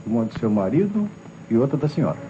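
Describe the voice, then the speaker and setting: An actor playing a respectful, matter-of-fact Brazilian police officer reporting evidence precisely in an old radio drama. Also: male voice, mid-range voice, sing-song, fast talker, over music, close to an everyday voice. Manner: deferential, precise. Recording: dull, slightly noisy vintage radio recording.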